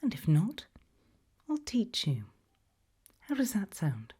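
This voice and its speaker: Slow, breathy British woman whispering ASMR. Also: medium pitch, wildly swooping pitch, warm tone. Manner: slow, deliberate, with long pauses. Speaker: ASMR roleplay host. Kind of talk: ASMR roleplay, soft questions to the listener.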